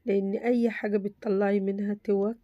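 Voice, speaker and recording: A woman with an Egyptian Arabic accent, hoarse from a cold, casually talking through a simple craft tutorial and reassuring viewers that it is very easy. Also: medium pitch, little pitch movement, quick pace, dry recording, dull audio. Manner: chatty, reassuring, repeats "very easy". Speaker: crafts channel host.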